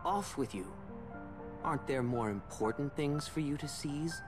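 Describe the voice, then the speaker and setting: A man's voice: on the high side, measured pace, close to an everyday voice. A man playing a melancholy, defeated video game villain, speaking in a theatrical, flowery, brooding manner. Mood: resigned. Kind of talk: dramatic video game voice acting. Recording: loud music behind the voice.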